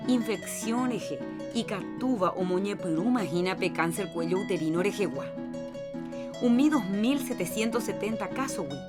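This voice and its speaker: A Paraguayan woman presents a radio health programme in a medium-pitched voice. She reads from a script, with guitar music clearly playing behind her. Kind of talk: scripted health announcement in Jopara.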